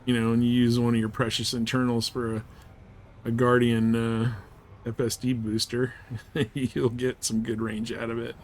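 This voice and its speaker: A man's voice: mid-range voice, flat delivery, normal speed. Laid-back man chatting, faint noise behind. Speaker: game live streamer. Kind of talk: casual chat while playing.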